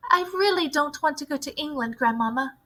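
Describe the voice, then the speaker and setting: A woman's voice: high voice, animated, brisk, fairly bright tone, pitched above the performer's own voice. A woman voicing an anxious, curious young boy in a storybook read-aloud. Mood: alarmed.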